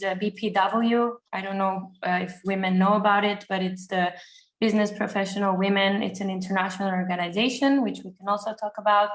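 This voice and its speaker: Woman from cyprus, 30s, mid pitch, roomy echo on her mic, steady w some ums. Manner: steady, fillers between phrases. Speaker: panel guest. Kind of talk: online panel introduction.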